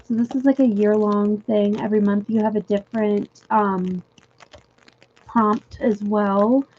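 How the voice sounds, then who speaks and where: A young woman chatting casually with viewers on a livestream from home, giggly and full of fillers, her voice going a little hoarse. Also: medium pitch, steady tone, unhurried, a little mellow, dull audio. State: losing her voice. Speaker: livestream host. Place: home room.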